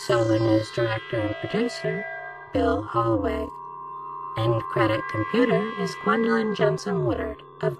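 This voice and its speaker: A woman voicing a female computer that reads out credits in a formal, measured, stilted way. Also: mid-range voice, vocal fry, heavily acted. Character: female ship computer reading end credits. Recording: loud music under the voice.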